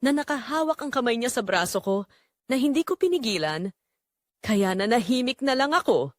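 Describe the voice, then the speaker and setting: A woman's voice: on the high side, expressive, normal speed, no echo, clean studio sound, natural voice. A woman playing a remorseful, heartbroken wife who narrates her own story in an emotive, confessional way, as in an audio drama. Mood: anguished. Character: guilt-ridden wife Rosa, remorseful and heartbroken.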